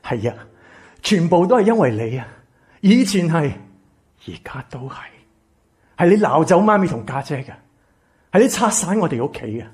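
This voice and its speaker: Man acting husky, bitter Cantonese son in radio drama. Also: fairly high pitch, sing-song, moderate pace, pauses often. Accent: Hong Kong Cantonese. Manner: emotional, stammering, swinging from shouts to whispers. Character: bitter, exploited grown son turned coldly vengeful. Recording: music behind the voice.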